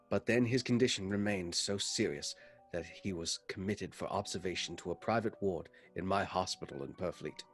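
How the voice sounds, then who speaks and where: Guy as low voiced haunted doctor narrating gothic radio play, slow careful dramatic storytelling, music behind him. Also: lightly acted. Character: haunted, earnest male doctor recounting gothic horror.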